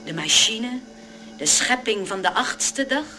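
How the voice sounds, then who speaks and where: A woman's voice: fairly high pitch, lively intonation, slow, background noise. A woman playing a solemn, prophetic radio play narrator, declaiming in grave biblical phrasing. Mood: solemn, foreboding. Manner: declamatory, portentous.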